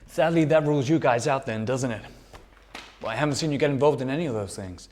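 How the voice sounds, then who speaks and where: A man's voice: mid-range voice, fast talker, a little mellow, close to an everyday voice. Man as a sardonic roommate in an echoey room. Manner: dry, sardonic. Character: sarcastic lazy young roommate in a sitcom.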